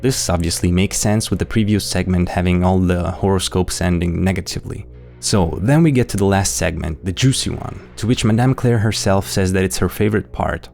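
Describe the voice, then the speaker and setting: A man's voice: low voice, fairly quick, gravelly, darkish tone, soft background music. A man hosting and narrating a scripted analysis, explaining things directly to viewers and reading out quotes. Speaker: narrator and video host.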